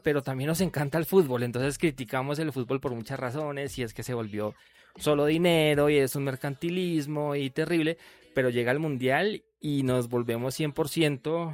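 A man hosting an interview, chatty and full of fillers and restarts. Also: medium pitch. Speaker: radio host.

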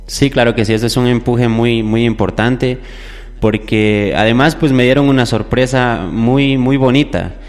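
A man's voice: mid-range voice, normal speed. A young man from Ecuador, a singer telling stories conversationally as an interview guest. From southern Ecuador. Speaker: musician guest, popular music singer. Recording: echoey hall-like reverb.

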